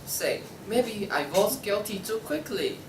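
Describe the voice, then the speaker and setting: Naive, well-meaning pig, played by a woman, slow and very sing-song, echoey room. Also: medium pitch, lively intonation, lightly acted. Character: kindly, naive pig juror, easily second-guessing. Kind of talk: student table read of a comic play. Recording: echoey noisy room, distant mic.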